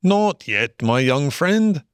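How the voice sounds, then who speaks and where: A man voicing a capable, good-natured male water rat in a storybook, with a brisk, hearty, avuncular manner. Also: on the high side, sing-song, no room sound, clean studio sound, voice pushed up. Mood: gently cautioning. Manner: brisk, hearty, avuncular, old-fashioned British phrasing. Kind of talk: storybook character voice.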